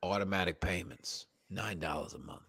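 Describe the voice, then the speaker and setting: A man's voice: low-pitched, normal speed, vocal fry, sharp tone, breathy edge, dry recording, clean studio sound. A man co-hosting a comedy podcast, bantering casually with wry, teasing remarks and some swearing. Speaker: podcast co-host.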